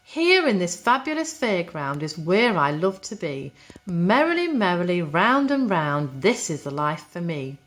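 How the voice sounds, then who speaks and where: A dreamy male rocking horse from a storybook, voiced by a woman reader. He is wistful and easily contented, speaking in lilting singsong rhyme with a very lively melody. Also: lowish voice, unhurried.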